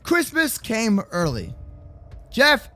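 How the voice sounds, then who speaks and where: A young man doing excitable, playful gaming roleplay commentary for children, slangy and exclamatory. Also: sits high, slow pace. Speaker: host of a gaming roleplay channel. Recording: faint music behind the voice. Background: faint music.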